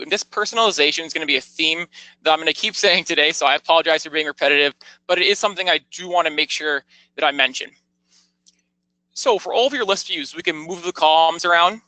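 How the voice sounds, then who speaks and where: A man narrating a product tour as a software demonstrator, explaining things step by step in a conversational, informal way. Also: on the high side, no echo, rough recording.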